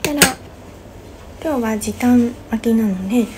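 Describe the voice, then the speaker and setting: A young woman in her twenties, a hairstylist, calmly explaining each step of a hair styling tutorial. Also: fairly high pitch, measured pace, a little hiss or hum. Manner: gentle step-by-step instruction. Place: small bright studio indoors.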